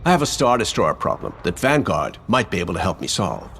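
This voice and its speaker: An actor playing a confident, easygoing rebel ace pilot in a video game, explaining plans in a plain-spoken, assured way. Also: male voice, low-pitched, huge pitch swings, a little fast, vocal fry, a bit bright, slight background noise, exaggerated voice. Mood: businesslike. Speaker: pilot leader giving a briefing. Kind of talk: scripted cinematic game acting. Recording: orchestral score behind. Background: cinematic music.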